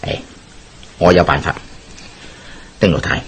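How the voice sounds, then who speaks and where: A man playing a confident schemer bent on justice in a Hong Kong Cantonese radio drama, explaining persuasively in a husky voice. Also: very deep voice, fairly even intonation, a little slow, heavily acted.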